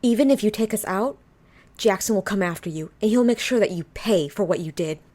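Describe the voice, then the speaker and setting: A woman's voice: medium pitch, lively intonation, fairly quick, mellow, no echo, lightly acted. A woman playing an earnest, defiant young heroine in an audio story. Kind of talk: audio story character acting.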